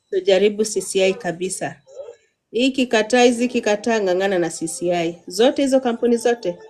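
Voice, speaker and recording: A woman's voice: mid-range voice, a little mellow. Kenyan female host, young, coaching style, code-switching, faint background music. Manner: mixes English and Swahili, coaching tone. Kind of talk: live-stream interview.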